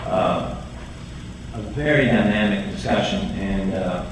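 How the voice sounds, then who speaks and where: A man chairing a board meeting, speaking in measured, hesitant remarks with frequent ums. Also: sits low. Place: large meeting hall. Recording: echoey hall, distant mic, noise.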